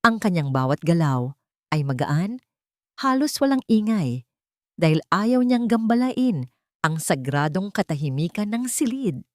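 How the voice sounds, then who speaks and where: A woman's voice: lowish voice, very animated, measured pace, darkish tone, dry recording, studio quality. A woman narrating a scripted dramatic story as an audio drama.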